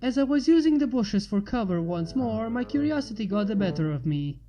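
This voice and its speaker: Mellow-voiced woman reading a story over music. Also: on the high side, big pitch swings, a little fast. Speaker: fan fiction reader. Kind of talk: scripted story narration.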